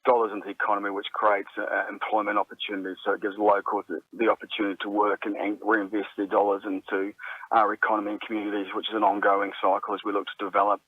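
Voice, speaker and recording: A man speaks quickly in a very flat, unvarying tone. He sounds like an official giving an interview soundbite over a narrow phone line. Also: lowish voice, breathy edge, dry recording. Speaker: council economic development manager.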